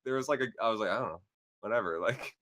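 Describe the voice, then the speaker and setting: A man's voice: lowish voice, rapid-fire, fairly bright tone. A man in his twenties, co-hosting a casual gaming podcast and telling stories in loose slang, laughing often. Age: 20s. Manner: laid-back slangy storyteller, laughs often. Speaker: podcast co-host.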